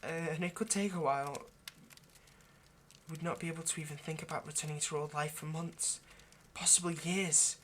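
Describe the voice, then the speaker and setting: A man's voice: fairly high pitch, steady tone, moderate pace, lightly acted. Earnest vampire lover, played by a man, noisy recording. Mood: solemn, cautioning. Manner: hesitant, stammering, gently persuasive. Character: earnest, lovestruck male vampire coaxing a partner. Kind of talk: intimate roleplay ASMR monologue.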